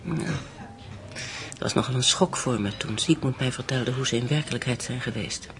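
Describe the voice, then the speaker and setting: A woman playing a guarded, gentle widow torn in love, in an intimate and restrained radio drama style. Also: rumbling low, normal speed. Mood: pensive, curious. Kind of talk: naturalistic radio drama acting.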